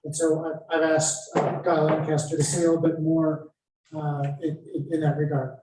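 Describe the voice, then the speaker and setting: A man's voice: a little high, completely flat delivery, moderate pace, mellow. A man moderating a panel discussion, hesitant and searching for his words as he introduces it. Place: echoey event room at a public panel. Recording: echoey room, distant mic, faint noise.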